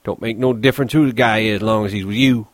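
A man acts out a lonely stable hand for an audiobook. He is bitter and scornful, brooding in plain rural ranch talk. The voice sits fairly low in a dry, close recording. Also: normal speed, no echo, lightly acted.

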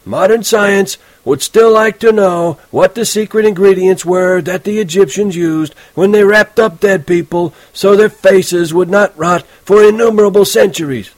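A man reading an audiobook, voicing a sarcastic old male history teacher who speaks slowly and deliberately. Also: sits high, dry recording, voice pushed up, natural voice, rough recording. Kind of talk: audiobook character voice.

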